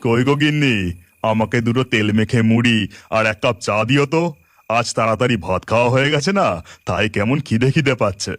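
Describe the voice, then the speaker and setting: A man's voice: mid-range voice, normal speed, dry recording, lightly acted. A man voicing an easygoing village husband in a cartoon, talking in a casual, homely way. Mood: casual, hungry. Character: hungry, easygoing village husband asking for tea.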